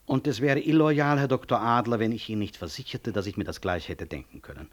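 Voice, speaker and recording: An actor playing a proud, middle-aged hospital director in a radio play, with a dry, ironic, dignified manner. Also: male voice, fairly low pitch, a little fast, lightly acted. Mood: dry irony. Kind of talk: 1960s German-language radio play acting.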